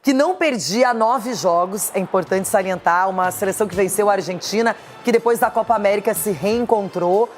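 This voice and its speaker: A woman's voice: mid-range voice, fairly quick, few pauses, soft background music. A woman hosting a TV sports panel, calling on the pundits for predictions. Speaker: TV sports show host.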